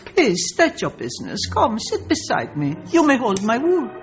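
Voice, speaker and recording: A woman's voice: on the high side, wildly swooping pitch, slight background noise. An actress playing a ruthless Soviet woman spy posing as a genteel countess, with a Russian accent, in a radio drama. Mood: falsely genteel. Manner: slow, mock-courteous, menacing. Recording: dull broadcast sound with loud music.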